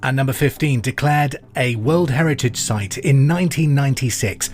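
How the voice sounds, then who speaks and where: A middle-aged man hosting a pub quiz podcast, reading out trivia questions and answers in a clear, deliberate way. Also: mid-range voice. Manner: clear deliberate delivery, repeats each question. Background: music bed.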